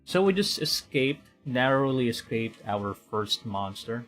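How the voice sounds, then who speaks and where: Slow-talking young man streaming a game. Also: mid-range voice, animated, soft background music. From Philippines. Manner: rambling, self-correcting, quietly talking to himself. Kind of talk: casual gameplay commentary.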